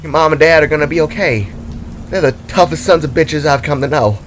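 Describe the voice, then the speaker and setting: A man voicing a gentle, tough-talking feathered woman who coaxes and reassures in a dramatic story. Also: on the high side, brisk, a little hiss or hum, voice pushed up, close to an everyday voice. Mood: soothing. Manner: soothing, coaxing.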